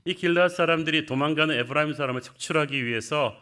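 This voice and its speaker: Brief Middle-aged pastor, sermon delivery, lively storytelling, voice slightly high for a man. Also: fast, clean tone. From Gyeongsang, South Korea. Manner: storytelling, vivid retelling of scripture.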